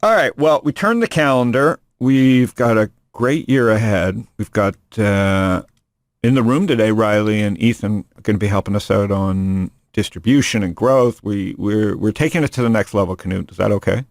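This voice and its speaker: A man's voice: fairly low pitch, moderate pace, dry recording. A man hosting a podcast in casual chat, rambling and joking, often restarting his sentences. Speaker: podcast host. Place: studio room.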